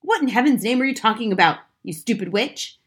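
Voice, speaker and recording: A woman voicing an angry, blustering skinny father in a storybook, shouting and spluttering. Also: a little high, animated, fairly quick, husky, pitched above the performer's own voice. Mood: furious.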